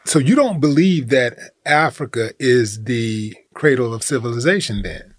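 A man's voice: mid-range voice, animated, a little slow, no room sound. An American man casually interviewing a guest as a podcast host, asking short conversational questions.

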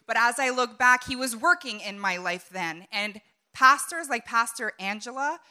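A woman's voice: fairly high pitch, lively intonation, moderate pace, bright, forceful tone. A woman in her thirties introducing the speaker, heartfelt and emotional, with many hesitations. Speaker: conference host introducing the speaker.

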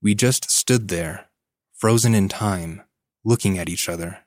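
Brief: deep male narrator, measured scripted reading. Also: no echo, studio quality. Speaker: story narrator. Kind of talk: scripted narration of a listener's story.

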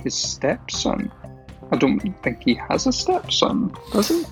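A man's voice: on the high side, huge pitch swings, unhurried, vocal fry, soft background music. Chatty Shetland-accented man. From Shetland Isles, Scotland. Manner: friendly, chatty, laughs often, some hesitation. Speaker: quiz contestant. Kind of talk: casual interview answers.

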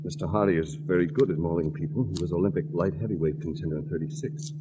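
A man's voice: deep voice, quick pace, close to an everyday voice. An actor playing a bitter, resigned secretary in his thirties in a 1940s radio drama, speaking sardonically on a noisy old recording. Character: bitter, put-upon male secretary, thirty-three, resigned.

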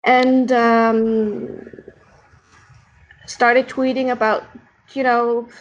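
Woman, Dutch accent, native Dutch speaker, slow, thoughtful, searching for words, casual interview. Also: fairly high pitch, little pitch movement, lo-fi sound. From Netherlands. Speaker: podcast guest, founder of an organization.

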